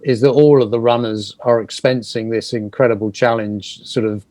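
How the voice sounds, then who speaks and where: Male host on a video call, dry close sound, measured and a little hesitant. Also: mid-range voice, steady tone, a little mellow, no echo. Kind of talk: hosting a video call chat.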